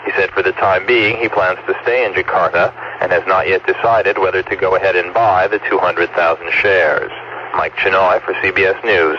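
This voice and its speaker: A man giving a scripted report as a foreign news correspondent, over a dull, noisy long-distance feed. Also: low voice.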